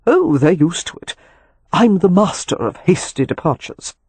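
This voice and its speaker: A narrator puts on a wry gentleman rake in an audiobook. He is affectionate and teasing, speaking with dry nonchalance in a husky voice, with no room sound at all. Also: medium pitch, very animated, moderate pace, no echo. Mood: breezy. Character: Leo, wry, rakish, affectionate gentleman brother. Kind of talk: audiobook character dialogue.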